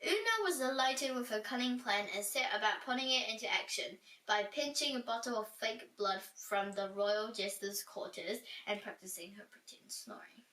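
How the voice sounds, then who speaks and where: A woman reading a children's storybook aloud in an animated, dramatic and expressive way. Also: on the high side, normal speed, few pauses. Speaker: storybook reader. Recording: roomy echo, distant from mic.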